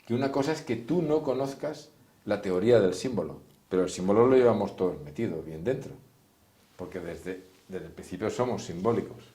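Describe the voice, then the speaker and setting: A man's voice: lowish voice, animated, fairly quick, dark tone. A man giving an informal, didactic class, explaining step by step and addressing his listeners directly. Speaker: therapist teaching a class. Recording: echoey room.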